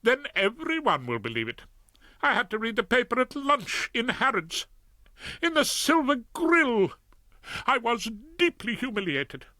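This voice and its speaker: A cutting, upper-class judge's wife, as a man puts her on speaks high and bright, her voice swooping with scorn. Her dry, close delivery keeps every barb crisp. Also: sing-song, measured pace, dry recording, exaggerated voice. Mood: scornful. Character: haughty sharp-tongued judge's wife, middle-aged.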